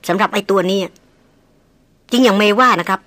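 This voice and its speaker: Woman reading as a calm, steady male jungle hunter. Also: mid-range voice, no room sound, natural voice. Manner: flat, unhurried, matter-of-fact.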